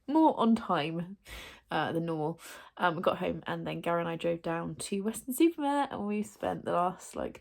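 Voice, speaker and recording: A woman's voice: on the low side, gravelly. A woman with a cold, chatting casually to camera in a rambling way full of fillers, sounding stuffy and coughing at times. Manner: chatty, rambling with many fillers and self-corrections. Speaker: book vlogger. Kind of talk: casual vlog chat to camera.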